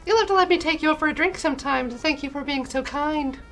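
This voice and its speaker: A woman's voice: sits high, quick pace, a bit bright, soft background music. A woman voicing a clingy, sweetly earnest young shop clerk from a dating sim, in an emotive way. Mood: grateful, eager. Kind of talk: dating sim character read aloud.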